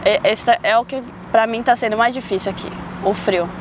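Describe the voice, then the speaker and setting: A woman from Brazil, a student speaking in a relaxed, wistful way in an interview. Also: on the high side, fast talker. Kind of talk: interview answers. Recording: clear street noise.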